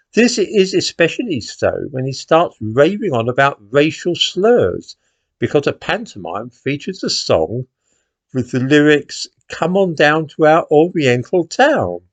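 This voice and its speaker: An older man with an English accent speaks at a middle pitch, with intonation that moves up and down freely. He delivers commentary in an indignant, sardonic way, posing rhetorical questions. The sound is dry, with very little room in it. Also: dry recording. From England. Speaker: commentator, host. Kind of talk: opinion monologue to camera.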